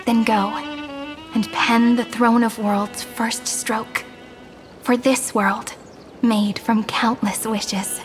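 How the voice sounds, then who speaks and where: Casting: gentle game prophetess, soft and reverent, somewhat high, with score underneath. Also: female voice, flat delivery, takes it slowly. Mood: solemn. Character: gentle wistful young woman prophesying a new world. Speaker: game character. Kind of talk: game cutscene voice acting. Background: orchestral music.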